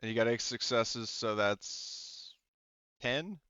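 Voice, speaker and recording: Clear-voiced man running a tabletop game. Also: mid-range voice, slow pace, bright, forceful tone, no echo. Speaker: game master. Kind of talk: casual tabletop RPG refereeing. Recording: slightly dull, lo-fi voice-chat audio.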